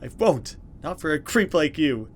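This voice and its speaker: A man's voice: a little high, very animated, moderate pace, slight background noise. A man voicing a defiant, protective young woman, the resolute heroine of a horror visual novel.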